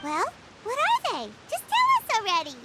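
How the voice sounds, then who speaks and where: A woman voicing a small, childish, food-loving fairy companion in an anime game, squeaky and excitable. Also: normal speed, faint music behind, heavily acted. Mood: impatient, eager. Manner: speaks of self in third person, excitable.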